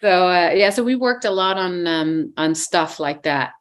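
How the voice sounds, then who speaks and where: Danish woman, lower voice, chatty guest who hesitates and fills pauses. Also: animated. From Denmark. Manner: hesitant, many fillers. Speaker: actress guest. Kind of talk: casual interview chat.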